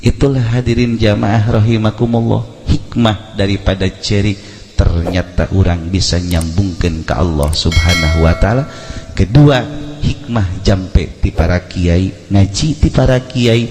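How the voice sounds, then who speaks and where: A man's voice: low voice, measured pace, a little mellow, soft background music. Reverberant male preacher telling a sermon story. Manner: theatrical, switching between narration and heightened quoted speech. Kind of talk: storytelling sermon to a congregation, acting out dialogue.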